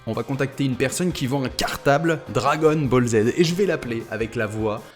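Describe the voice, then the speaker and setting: A man's voice: mid-range voice, fairly quick, soft background music. A man hosting prank calls in casual, slangy, rambling speech. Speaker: prank caller and video host. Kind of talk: casual prank-call chat and commentary.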